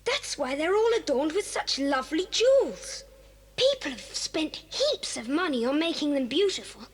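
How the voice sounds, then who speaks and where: A reverberant cassette drama recording carries a boy adventurer with a very high, animated voice. The boy is a cheerful, confident leader, brisk and full of exclamations, always urging the others on. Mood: awed, explaining. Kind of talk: 1980s children's audio drama acting.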